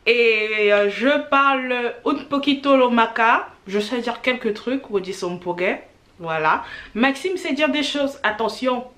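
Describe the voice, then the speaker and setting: A woman's voice: on the high side, unhurried, fairly bright tone. A young woman from France with Cameroonian family, chatting and laughing on a casual couple vlog. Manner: giggly, chatty, frequent laughter. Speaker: vlogger.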